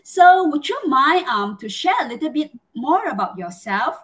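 A woman's voice: high-pitched, very animated, moderate pace. A middle-aged woman from Malaysia with a Malaysian accent, hosting a live chat interview in an effusive, chatty way over a video call. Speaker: host. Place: small home room, indoors.

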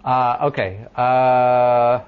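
A man with a loud voice giving an informal classroom lecture, thinking aloud with many ums and restarts and asking the class questions. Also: mid-range voice, level tone, very slow, clear, resonant tone. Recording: dull lo-fi lecture recording.

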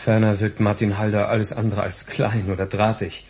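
An actor playing a dry, ironic, officious police detective in a radio crime drama, with a husky voice. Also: male voice, on the low side, little pitch movement, moderate pace, a little mellow, slight background noise, natural voice. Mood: probing, dry. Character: sardonic male police detective questioning a witness. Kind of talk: 1980s German radio crime drama acting.

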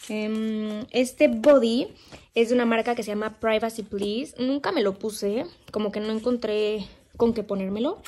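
A woman's voice: fairly high pitch, a little mellow. A young woman in her twenties with a Mexican Spanish accent, chatting casually to her phone camera as she prices and shows clothes. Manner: chatty, pricing and showing clothes. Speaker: vlogger. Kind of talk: casual vlog talk to camera. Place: bedroom at home, then outdoor bazaar. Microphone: handheld phone camera.

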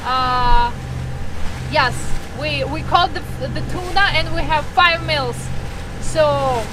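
A woman's voice: high voice, background noise. Chatty woman vlogging on a sailboat. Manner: chatty, explaining plainly, some halting grammar. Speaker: sailing vlog host. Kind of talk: casual vlog narration. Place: outdoors on a sailboat at sea. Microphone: action camera held at arm's length.

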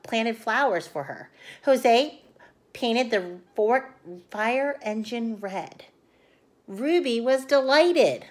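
Lady teacher in her 30s, highish voice, expressive picture book read-aloud for little kids. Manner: expressive, addressing young children. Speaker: elementary school teacher reading aloud. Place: small room at home, indoors.

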